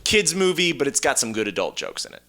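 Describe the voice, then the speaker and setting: A man with a bright, forceful voice and very animated pitch, chatting as a podcast co-host. He jokes readily and drops quips into loose, casual banter. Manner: joking, quick with quips. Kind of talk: casual comedic banter, pitching movie ideas.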